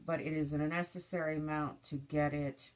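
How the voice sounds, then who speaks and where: A woman making soap, chatting through each step in a casual demonstration, her voice slightly stuffy and hoarse from a cold. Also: deep voice, level tone, moderate pace, rough recording. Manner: chatty, narrating each step as she works.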